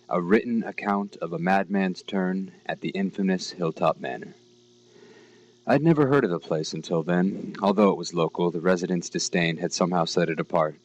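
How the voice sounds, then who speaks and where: A man narrating a scripted horror story in a measured, dramatic, literary manner. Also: sits low, dull audio, slight background noise. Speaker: story reader.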